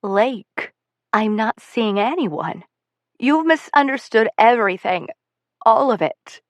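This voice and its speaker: A woman voicing a middle-aged mother who is grieving and apologetic, speaking softly and pleadingly. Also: a little high, expressive, slow pace, dry recording. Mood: puzzled.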